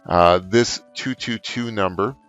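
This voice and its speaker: Deep-voiced middle-aged man, halting tutorial. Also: fairly bright tone, dull audio, faint music behind. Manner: halting, frequent filled pauses. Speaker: trainer, tutorial presenter. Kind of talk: unscripted software tutorial, step-by-step explaining. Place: office room indoors.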